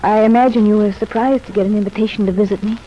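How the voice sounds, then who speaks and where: An actress playing a contrite, earnest young ex-wife in an old-time radio soap opera, speaking in a confiding, measured way. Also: female voice, mid-range voice, fairly even intonation, dull audio, lightly acted. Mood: gently confiding. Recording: noisy, band-limited vintage radio recording.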